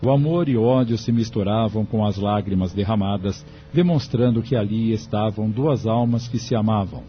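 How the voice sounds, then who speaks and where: A male narrator reads a scripted audio drama in a fairly low voice. The recording is slightly dull, and music plays faintly behind him.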